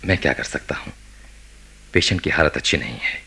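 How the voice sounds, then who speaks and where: A man acting a grave, reserved doctor in a radio play, husky-voiced, measured and courteous. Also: a bass voice, bright, forceful tone, heavily acted. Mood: guarded, courteous. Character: grave, guarded male doctor recounting a case. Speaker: doctor and narrator character.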